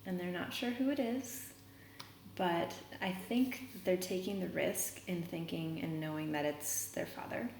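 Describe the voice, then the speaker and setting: A woman reading a children's novel aloud, pausing to explain words as a teacher would. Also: fairly low pitch, gravelly, a little mellow. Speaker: read-aloud reader and teacher. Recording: roomy echo, noticeable background noise.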